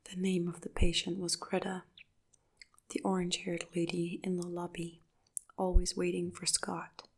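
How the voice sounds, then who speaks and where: A woman narrating a dark first-person story in a soft, hushed whisper throughout. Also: on the low side, monotone, moderate pace, breathy edge.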